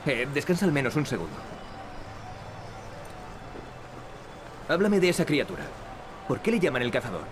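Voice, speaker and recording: An actor with a Castilian Spanish accent playing a cocky, wisecracking young adventurer in a video game. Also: male voice, medium pitch, big pitch swings, fast, background noise, natural voice. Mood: confident. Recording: game music behind the voice. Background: game music.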